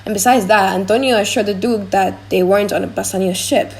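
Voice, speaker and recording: A woman playing a gossipy, excitable young man in conversational audiobook drama dialogue. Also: mid-range voice, brisk, vocal fry, close to an everyday voice.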